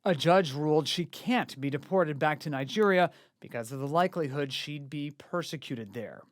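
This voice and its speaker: A male news reporter narrating an investigative report in a measured, earnest way. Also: fairly high pitch, expressive, no room sound, studio quality.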